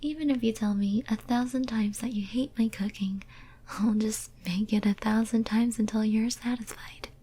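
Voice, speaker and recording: A woman's voice: on the high side, steady tone, slight background noise, close to an everyday voice. A woman playing a lonely, devoted, possessive female demon in an ASMR roleplay, whispering tenderly and intimately. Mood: tender, yearning. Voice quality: soft breathy whisper. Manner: tender, clingy, intimate, slow and halting.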